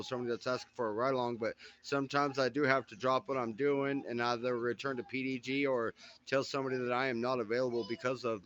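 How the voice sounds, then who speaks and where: Male, mid-pitched, naturally loud voice, deferential and polite, calls everyone sir. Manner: polite, says sir often. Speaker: roleplay officer. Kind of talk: casual meeting question.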